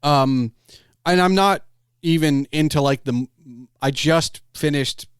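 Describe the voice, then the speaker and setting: A man's voice: on the high side, slow pace, clear, resonant tone, dry recording, clean studio sound. A middle-aged man in casual podcast conversation, rambling and digressive, often breaking off his clauses to start another. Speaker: podcast host.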